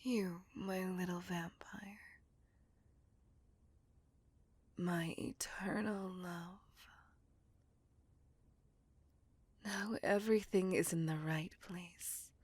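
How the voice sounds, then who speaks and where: A woman playing a seductive, possessive, coldly vengeful vampire in a slow, intimate, breathy whispered ASMR roleplay. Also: fairly low pitch, steady tone, no room sound. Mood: tender, possessive. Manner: slow, intimate, deliberate, savouring words.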